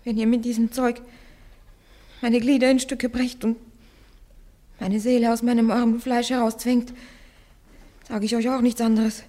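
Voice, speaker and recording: A woman's voice: a little high, little pitch movement, normal speed, long pauses, warm tone, lightly acted. An actress playing a defiant young peasant woman on trial in a radio drama, speaking emphatically. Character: defiant accused young peasant woman facing her judges. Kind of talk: classic German radio drama acting.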